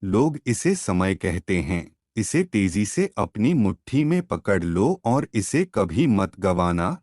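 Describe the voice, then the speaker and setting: A wise Indian holy man from a cartoon fable , acted by a man, low in pitch with big swings of intonation. He delivers fatherly, mysterious pronouncements slowly and with emphasis. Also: big pitch swings, darkish tone, no echo, studio quality. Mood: solemn, instructive. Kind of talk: animated moral story character acting.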